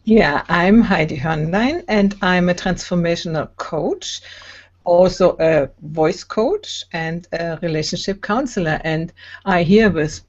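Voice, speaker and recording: A woman's voice: lowish voice, measured pace, lo-fi sound. A woman co-hosting a casual online talk from her home, laughing often as she introduces guests. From Germany. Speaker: host and coach. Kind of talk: casual video call chat. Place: home in Italy. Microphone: video call.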